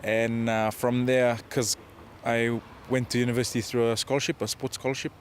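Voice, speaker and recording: A man in his twenties with a Fijian accent answers interview questions as a rugby player, in a casual, modest and halting way. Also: medium pitch, little pitch movement, a little hiss or hum. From Fiji. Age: 20s. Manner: hesitant, modest, halting delivery.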